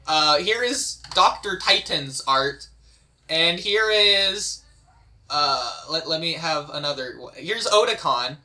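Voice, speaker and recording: A man's voice: fairly high pitch, expressive, slow pace, pushed and bright, a little hiss or hum. A man hosting a casual online group chat, rambling and repeating words as he searches for what to say. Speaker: host and writer of an amateur script table read.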